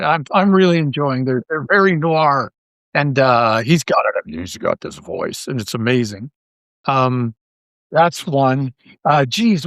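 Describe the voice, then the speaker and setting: A man's voice: on the high side, a bit bright, no echo. A man talking casually as a podcast guest, rambling along with enthusiasm. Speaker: podcast guest, audio drama creator. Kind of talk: casual interview chat.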